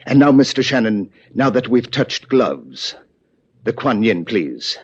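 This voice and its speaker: A man's voice: mid-range voice, sing-song, a little slow, husky. A man playing a genteel, coolly murderous villain with precise, formal diction in a 1950s radio drama, on a muffled vintage recording. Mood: smooth, menacing.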